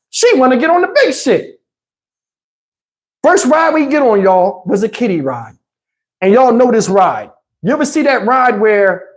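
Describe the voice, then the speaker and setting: Animated man telling a casual story. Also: sits high. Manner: slangy, profane, emphatic. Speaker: storytelling YouTube host.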